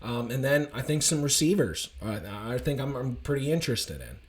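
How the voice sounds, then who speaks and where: A man with a slightly raspy, hoarse voice, giving an enthusiastic, rambling casual recap of a college football game. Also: mid-range voice, sing-song, moderate pace. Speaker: college football fan commentator.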